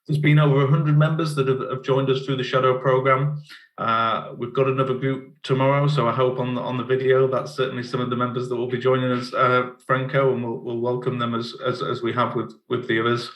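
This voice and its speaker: A middle-aged man with an English accent, a managing director giving a conference talk over a video call, halting and often repeating his words. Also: mid-range voice, little pitch movement, fairly bright tone, highs cut off. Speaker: managing director of partner company. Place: office in Cape Town. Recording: roomy echo.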